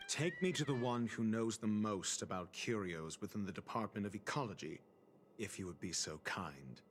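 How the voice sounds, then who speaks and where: Guy acting a low voiced calculating detective type from a game, imperious n sardonic, very measured, loud music behind. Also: expressive, natural voice. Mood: cool, commanding. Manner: measured, formal, theatrical. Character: cool, calculating male investigator with a sardonic, imperious manner. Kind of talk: dramatic video game voice acting.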